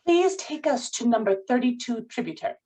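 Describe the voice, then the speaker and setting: A woman's voice: fairly high pitch, expressive, brisk, warm tone. A woman playing a poised, romantic professional, patient but pointed, in a screenplay table read. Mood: pleasant.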